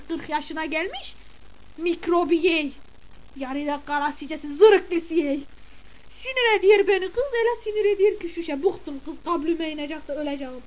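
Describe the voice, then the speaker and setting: Comic sketch, woman playing a scolding, chattering village mother, very high and shrill, dull lo-fi sound. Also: slight background noise, close to an everyday voice. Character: gossipy, grumbling middle-aged village mother of the bride. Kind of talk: comic character monologue.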